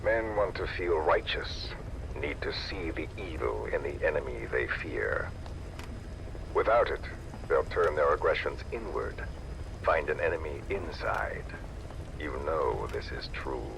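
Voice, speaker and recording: An actor playing a menacing disfigured villain in a video game, speaking with deliberate, theatrical and coldly eloquent malice. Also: male voice, a bass voice, lively intonation, gravelly, background noise, exaggerated voice. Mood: measured, probing. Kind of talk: dramatic video game voice acting.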